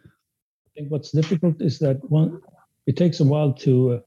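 A man's voice: a little high, moderate pace, warm tone, breathy edge, dull audio. A man whose first language is Spanish, raised in Sweden, answering interview questions in a hesitant way with many restarts and fillers. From Spanish-speaking country, raised in Sweden. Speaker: guest. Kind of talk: casual interview.

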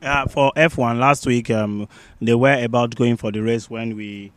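A man co-hosting a sports roundup, talking conversationally and haltingly, often repeating his words. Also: medium pitch, fairly even intonation, fairly bright tone, dry recording. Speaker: sports show co-host.

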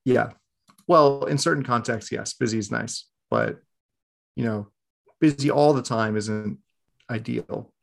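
A man's voice: mid-range voice, unhurried, creaky, long pauses. A man hosting a casual podcast, talking in a laid-back conversational way. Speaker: podcast host.

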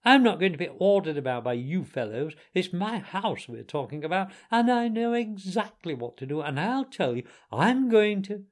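A high-pitched, wildly animated storybook toad, puffed up with himself and theatrical. He brags with false modesty and gets dramatic at the slightest thing. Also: moderate pace. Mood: defiant. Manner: bragging, mock-modest, dramatic. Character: boastful, excitable, theatrical toad, self-pitying.

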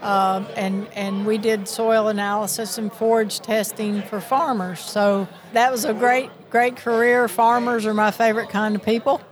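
Retired Southern woman, friendly and halting. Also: mid-range voice, steady tone. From Miami. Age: older. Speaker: sheep producers association president. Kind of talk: casual interview.

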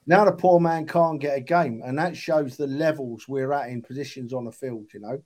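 A man's voice: mid-range voice, moderate pace, darkish tone. A man of about sixty, chatting casually and earnestly as a football fan on a podcast, emphatic and matey. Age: 60s. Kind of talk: casual chat.

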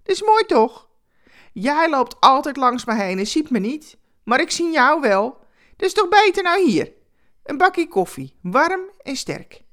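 A woman's voice: high-pitched, big pitch swings, unhurried, a bit bright, voice pushed up, full character voice. A woman voicing a cheerful, chatty homeless man with folksy, familiar street talk in a told story. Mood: enthusiastic, chatty. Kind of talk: storytelling character voice.

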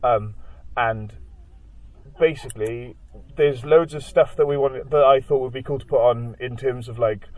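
A man's voice: mid-range voice, normal speed, no room sound, poor audio. A young man with a Welsh accent, a band member chatting casually in an interview, rambling with lots of fillers as he tells stories. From Wales. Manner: rambling, many "like" fillers, animated storytelling.

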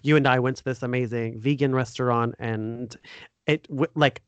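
A man's voice: mid-range voice, fairly even intonation, normal speed, no room sound. An American man hosting a podcast, interviewing in a casual, informal and enthusiastic conversational way. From United States. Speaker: podcast host.

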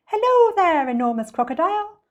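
A woman's voice: very high voice, very animated, brisk, highs cut off, voice pushed up. A woman voicing a sweet, cheerful, innocent little bird in a children's storybook, in a singsong manner. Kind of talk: dramatic children's storybook reading.

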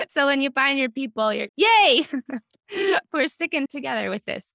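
A woman's voice: sits high, sing-song, a little slow, gravelly, bright, forceful tone, no echo, clean studio sound. A woman speaking as an interview guest, explaining her experience in a reflective, conversational way. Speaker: podcast guest, book editor.

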